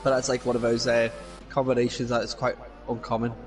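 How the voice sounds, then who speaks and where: A man's voice: medium pitch, level tone, brisk, faint music behind. A man with a Scouse accent sits in on commentary for an online racing league. He talks the listener through lap times, corners and qualifying rules in an analytical, explanatory way.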